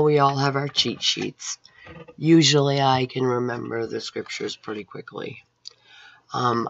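Raspy-voiced woman, slow and rambling. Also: very deep voice, no echo. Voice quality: raspy, hoarse. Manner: rambling, earnest, religious. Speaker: Bible college student. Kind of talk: personal video testimony. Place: room at home with painted scripture wall. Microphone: webcam at close range. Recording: dull lo-fi webcam audio.

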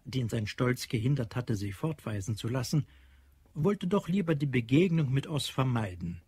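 A man's voice: medium pitch, darkish tone, husky, dry recording. A man narrating a science fiction radio drama in a sober, scripted storytelling manner.

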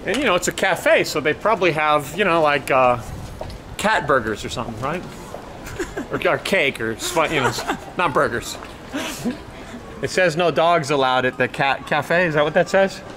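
A man's voice: on the high side, lively intonation, normal speed, background noise. A middle-aged man chatting casually and playfully as a vlog host, talking to a camera held at arm's length. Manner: playful, joking. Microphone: action camera at arm's length.

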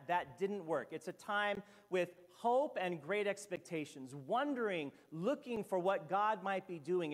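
A man in his fifties, a senior pastor from Hawaii, preaching a sermon at the pulpit with lively storytelling and asides. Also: high voice. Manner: animated storytelling with sweeping gestures and asides. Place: church sanctuary at a pulpit. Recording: long reverb of a church hall.

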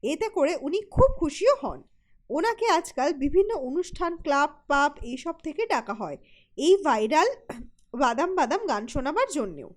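A woman's voice: high voice, very animated, normal speed. A woman narrating a scripted cartoon story.

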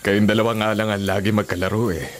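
A man's voice: fairly low pitch, little pitch movement, fast, a little hiss or hum, lightly acted. An actor playing an older village man in a Filipino radio drama, earnestly telling tales with hesitant, emphatic phrasing. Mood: earnest, insistent. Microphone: studio condenser mic with pop filter.

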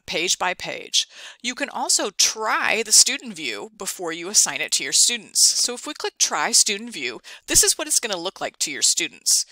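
A woman presenting an instructional screencast, explaining things clearly step by step. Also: medium pitch, pushed and bright, dry recording. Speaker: ed tech tutorial presenter. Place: dry close voice-over for a screen recording.

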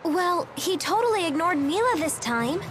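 A woman voicing a cheeky, excitable little girl companion in a video game. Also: very high-pitched, moderate pace. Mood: excited, cheeky. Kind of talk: animated game voice acting. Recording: loud music over dialogue.

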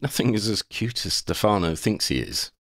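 A man's voice: lowish voice, sing-song, normal speed, fairly bright tone, no echo, studio quality, pitched above the performer's own voice, heavily acted. A man voicing a practical, teasing young hero with an urging, matter-of-fact manner in an audiobook. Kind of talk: audiobook character voice.

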